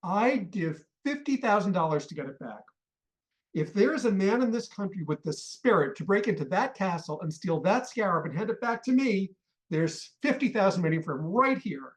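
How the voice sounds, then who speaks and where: A man acting an irascible middle-aged father and collector in a comic radio play. His delivery swings widely in pitch as he blusters and rants with indignation. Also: sing-song, moderate pace, rough recording. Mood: indignant, sarcastic.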